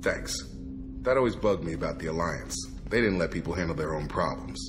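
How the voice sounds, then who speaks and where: A man's voice: low-pitched, a little fast, music in the background, natural voice. An actor playing a blunt, guarded male soldier in a video game, plain-spoken and loyal. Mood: guarded, frank.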